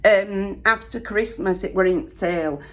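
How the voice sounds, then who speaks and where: A middle-aged woman with a Northern English accent, slightly croaky, chatting homely and rambling while doing her makeup. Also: medium pitch, measured pace, fairly bright tone. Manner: rambling, homely, lots of ums and rights. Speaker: vlogger. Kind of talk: chatty get-ready-with-me storytime.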